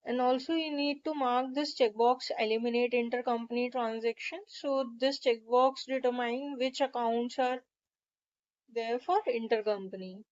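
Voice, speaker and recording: A woman narrating a step-by-step software tutorial in a methodical, instructional way. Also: on the high side, steady tone, normal speed, highs cut off.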